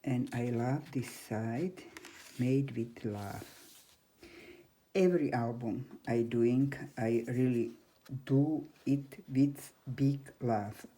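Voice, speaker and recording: This is an older woman in her seventies presenting a craft tutorial. Her voice sits extremely low for a woman and has a dark, mellow tone. She speaks slowly in halting, non-native English, with faint noise in the background. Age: 70s. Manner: halting non-native English with simple grammar, chatty and unhurried. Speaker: crafting channel host. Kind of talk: scrapbook craft tutorial, showing an album.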